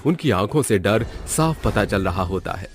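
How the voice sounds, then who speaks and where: A man's voice: on the low side, expressive, moderate pace. A man narrating a scripted horror story in a dramatic storytelling manner.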